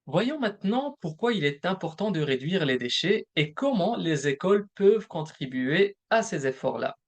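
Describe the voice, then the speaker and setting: A man presenting a recorded webinar as a program manager, explaining points one by one in a measured way. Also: a little high, very animated. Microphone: recorded presentation voice-over.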